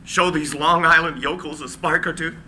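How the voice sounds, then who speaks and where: Guy doing loyal eager lab assistant, very bright forceful voice, higher pitch, script reading. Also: fairly even intonation, moderate pace, slight background noise. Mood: eager, persuasive. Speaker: actor. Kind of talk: live screenplay reading.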